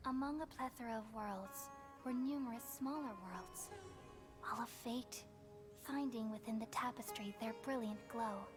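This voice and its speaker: A woman voicing a gentle, wise, selfless goddess in a video game, speaking in a solemn and measured way. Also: on the high side, lightly acted. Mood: wistful, dreamy. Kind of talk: scripted video game voice acting. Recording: game cutscene with music behind.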